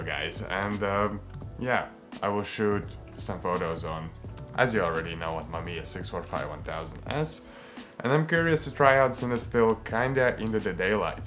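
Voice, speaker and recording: Laid-back Latvian-born man vlogging. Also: sits low. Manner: relaxed, joking, swears casually. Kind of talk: casual travel vlog narration.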